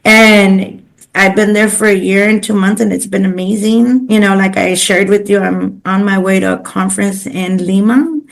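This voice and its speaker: A woman's voice: medium pitch, fairly even intonation, moderate pace, gravelly. A woman who is a native Spanish speaker, talking earnestly and at length about her work as an interview guest. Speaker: podcast guest, pro bono legal coordinator. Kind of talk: conversational interview, personal career storytelling.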